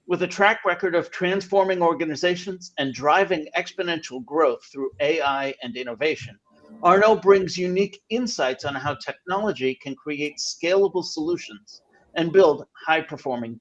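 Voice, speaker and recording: A male host who opens the show with polished, upbeat announcer delivery. His voice sits on the higher side. The audio is dull and lo-fi, like an online call. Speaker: podcast host. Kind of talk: scripted show introduction and interviewing.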